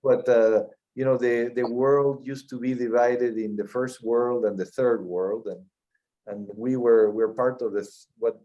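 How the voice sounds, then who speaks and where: A middle-aged man from Peru with a Peruvian Spanish accent, answering interview questions in a measured way, often restarting and repeating words. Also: medium pitch, fairly even intonation, dark tone, highs cut off. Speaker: mining company CEO.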